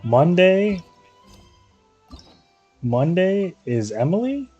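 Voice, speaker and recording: A man's voice: high-pitched, big pitch swings, very slow, darkish tone, breathy edge, highs cut off, faint music behind. A tired man chatting casually on a game livestream, rambling and slangy, repeating himself as he goes. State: tired after very long stream.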